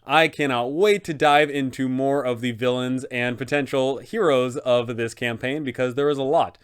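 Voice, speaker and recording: A man's voice: medium pitch, steady tone, normal speed, clear, resonant tone. A young adult man hosting an explanatory tabletop game video, speaking in an enthusiastic, emphatic way. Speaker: host, game master advisor. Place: small room indoors, seated.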